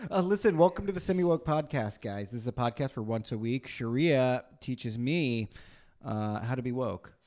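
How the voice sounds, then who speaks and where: A man co-hosting a casual comedy podcast, chatting in an animated, jokey way and prone to laughter. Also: mid-range voice, normal speed. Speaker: podcast co-host.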